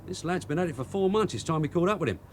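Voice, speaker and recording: An actor playing a streetwise, bossy London detective sergeant in a radio drama, with a London accent and brisk, colloquial, teasing talk. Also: male voice, fairly high pitch, animated, slight background noise, natural voice. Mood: brisk, dry.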